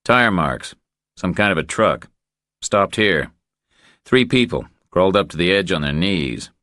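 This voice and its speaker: A terse, tough male investigator , played by a man speaks in an extremely low voice. His delivery is clipped, observant and matter-of-fact, as audiobook dialogue in a dry recording. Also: lively intonation, measured pace, bright, forceful tone, dry recording, full character voice. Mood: alert, analytical.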